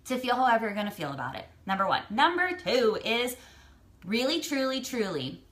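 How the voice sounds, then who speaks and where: An American woman with a somewhat high voice speaks as a vlogger giving personal advice. She is emphatic and blunt, and she repeats phrases to drive each point home in a motivational style. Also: sing-song, fairly quick, a bit bright. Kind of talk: motivational advice talk to camera.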